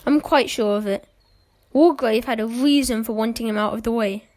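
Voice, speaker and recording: A cool, mocking adventurer in an amateur radio play acted by a woman. The voice is high, and the delivery is sardonic and clipped, with dry retorts. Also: moderate pace, a little mellow, natural voice. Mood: dry, probing. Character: cynical, cool, mocking male adventurer with a revolver.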